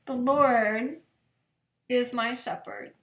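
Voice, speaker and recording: A woman with a slightly high voice reads a bedtime story as a pastor would to children. She goes very slowly, phrase by phrase, leaving long pauses. The room around her adds a clear echo. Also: steady tone, a bit bright. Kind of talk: gentle read-aloud of scripture picture books. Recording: echoey room.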